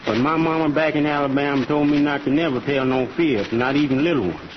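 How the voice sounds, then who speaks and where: Man acting a high-pitched, folksy Southern cowhand. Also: brisk, lightly acted. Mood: sheepish. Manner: folksy, drawling, deferential. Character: loyal, bashful cowhand from Alabama, simple and honest. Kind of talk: old-time radio western acting. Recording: muffled, noisy old radio recording.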